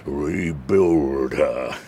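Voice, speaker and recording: An actor playing an anguished, vengeful created monster in a dramatised audiobook, with theatrical delivery. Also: male voice, extremely low pitch, background noise, exaggerated voice. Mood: menacing. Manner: slow, theatrical delivery, swinging from pleading cries to menacing whispers.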